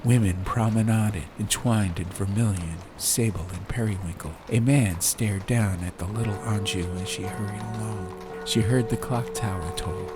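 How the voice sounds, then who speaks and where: A man reading a story as an atmospheric audiobook narrator, in measured short phrases with pauses. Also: lowish voice, music in the background. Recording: clear background ambient noise. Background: ambience.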